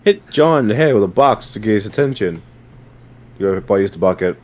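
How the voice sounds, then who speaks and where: A man's voice: on the low side, animated, brisk. A man in a casual amateur group reading, talking in a mock-commanding way.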